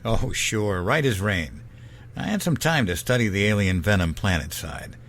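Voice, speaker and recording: A man playing a calm, reassuring, seasoned ship's doctor in a science fiction audio drama. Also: on the low side, moderate pace, a little hiss or hum.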